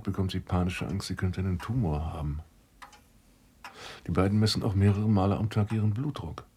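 A man's voice: low voice, a little mellow, husky. An actor playing a troubled, polite man in a German radio drama, earnest and a little hesitant. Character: troubled, polite man searching for his missing brother.

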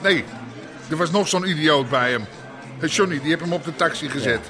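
An actor playing a domineering middle-aged crime boss in a radio drama, gruff, bossy and swearing. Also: male voice, on the high side, brisk, over music, close to an everyday voice. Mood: irritated. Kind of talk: naturalistic radio drama acting.

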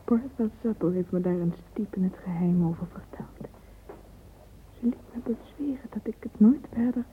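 A woman's voice: medium pitch, slight background noise, lightly acted. An actress playing a dreamy, romantic young woman in a radio play monologue, whispering intimately and fervently through a narrow, phone-like recording. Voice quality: breathy, whispery. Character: dreamy romantic young woman lost in yearning love fantasies.

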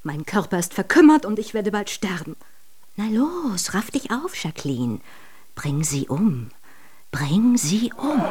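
An actress playing a worn-out, rebellious maidservant in a German radio drama, muttering theatrically to herself, fed up and bitter. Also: female voice, medium pitch, very animated, unhurried, a little mellow, husky. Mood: bitter, urging.

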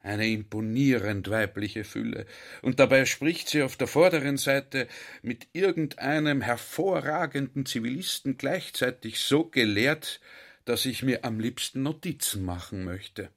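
An effusive, confiding army general. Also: male voice, medium pitch, a little slow, a bit bright. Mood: admiring, confiding. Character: talkative Austrian army general, earnest, baffled by ideas. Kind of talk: radio drama monologue.

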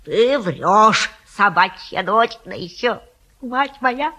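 An actress playing a garrulous, folksy old Russian matchmaker in a stage comedy, coaxing and boasting theatrically. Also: female voice, mid-range voice, animated, slow, sharp tone, exaggerated voice. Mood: gossipy boasting. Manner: folksy, garrulous, effusive, sing-song coaxing. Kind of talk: theatrical stage-comedy acting of a classic play.

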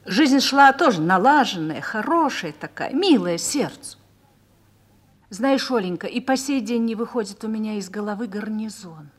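Radio drama casting: female actor for a mature army wife, mid-pitched, tender, wistful storytelling. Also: expressive, moderate pace, close to an everyday voice. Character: tender, reminiscing older female officer's wife. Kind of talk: Russian radio play acting.

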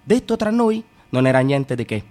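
An actor playing a young man who tells a comic tale of his awkward youth, shifting between hushed asides and frantic bursts. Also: mid-range voice, sing-song, normal speed, lightly acted. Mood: wry. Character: young man recalling a clumsy adolescence. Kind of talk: radio play narration.

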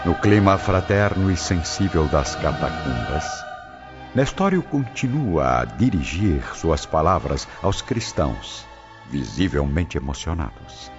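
A man narrating a scripted radio drama in a solemn, measured manner. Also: very deep voice, sing-song. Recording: dull lo-fi recording, loud music behind.

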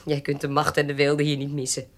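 A woman's voice: low-pitched, completely flat delivery, a little fast, natural voice. Actress as proud, commanding young woman. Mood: cool, threatening. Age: 20s. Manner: commanding, accusing, sharp-tongued. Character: proud, defiant young woman scheming to free a prisoner. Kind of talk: radio drama acting.

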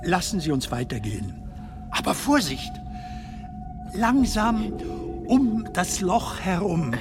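An actor playing a whimsical film comedian stranded in darkness in a German radio drama, musing and storytelling in a halting way. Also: male voice, high-pitched, very animated, exaggerated voice. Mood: puzzled, skeptical. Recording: music behind the voice.

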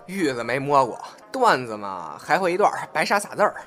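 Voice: medium pitch, very animated, a little fast, fairly bright tone, soft background music. A young adult actor playing a cheeky, eager novice apprentice in a Chinese audio drama.

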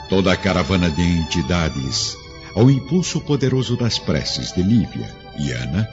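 A man narrating a devotional radio drama in a solemn, reverent manner. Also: low voice. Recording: muffled, with music score.

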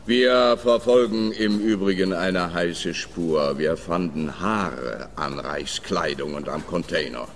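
An actor playing a pompous police officer in a radio drama, who talks down to the detective with self-important condescension. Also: male voice, low voice, steady tone, takes it slowly, few pauses, slight background noise. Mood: smug. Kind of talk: radio play acting.

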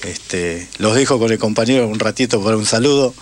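A man's voice: lowish voice, a little fast, a little hiss or hum. A man speaking informally as an activist guest on radio. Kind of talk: informal radio greeting and introduction.

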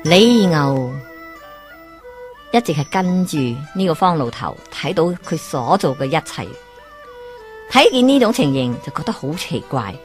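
A woman with a Hong Kong Cantonese accent narrates a folk tale in a lively, exclaiming style. Her voice is low and her pace unhurried, and soft music plays faintly underneath. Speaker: storyteller.

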